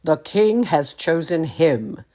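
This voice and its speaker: A woman voicing a gruff male soldier of the king in a storybook, speaking in a curt, firm way. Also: low-pitched, animated, slow, mellow.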